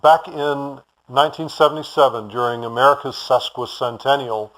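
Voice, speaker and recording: A man's voice: medium pitch, steady tone, normal speed, a bit bright, poor audio. A man from Houston whose first language was German, answering interview questions in a friendly, polite and rambling way with fillers. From Houston, Texas. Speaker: bicycle shop owner, guest.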